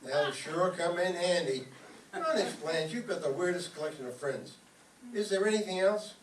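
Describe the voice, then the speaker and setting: Guy playing excitable cheapskate husband, radio comedy bickering, wisecracks, roomy sound w some faint noise. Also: sits high, moderate pace. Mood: grumbling. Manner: wisecracking, theatrical, exclaiming. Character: bickering, grumbling, tightfisted husband, excitable and wheedling. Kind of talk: old-time radio comedy acting on stage. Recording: roomy, distant mic with background noise.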